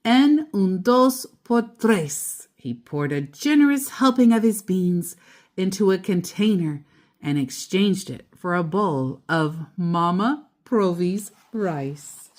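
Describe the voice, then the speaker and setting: Slow, expressive woman reading a children's story. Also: lowish voice. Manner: deliberate, expressive emphasis on key words. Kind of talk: children's picture book read-aloud.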